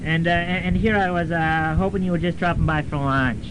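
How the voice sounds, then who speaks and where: A man plays a nervous, fussy male professor with a high-pitched voice. He stammers and hesitates constantly, in radio comedy fashion, over a clearly noisy, rumbling tape. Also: steady tone, a little fast, lightly acted. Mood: nervous, hesitant. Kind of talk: 1990s student radio comedy drama.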